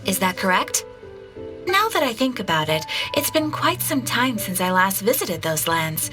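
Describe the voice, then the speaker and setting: A woman's voice: medium pitch, sing-song, normal speed, natural voice. A woman voicing a courteous, composed receptionist in a video game, speaking formally and politely. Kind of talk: video game cutscene acting. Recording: music behind the voice.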